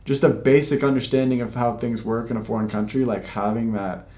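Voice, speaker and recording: A man's voice: mid-range voice, fairly quick. American man, casual chat in echoey room. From United States. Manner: relaxed, filler-heavy talk with lots of "like". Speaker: YouTuber host. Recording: roomy echo.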